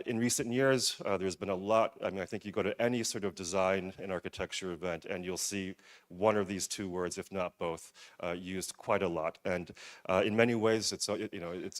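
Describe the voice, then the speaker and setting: A man giving a conference presentation, hesitant, with many fillers and restarts. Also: low-pitched. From United States. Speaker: museum director presenting at a forum.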